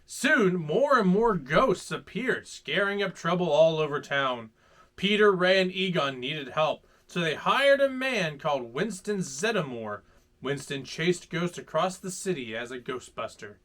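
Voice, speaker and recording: A man hosting a children's story time, reading aloud in a playful, dramatic, animated way. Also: fairly high pitch, unhurried. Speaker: host reading children's stories.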